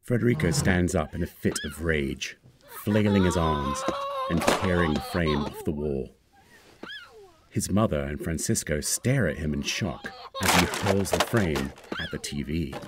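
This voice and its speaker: A man's voice: a bass voice, noisy background. A man reading screen directions aloud as scripted narration for a screenplay.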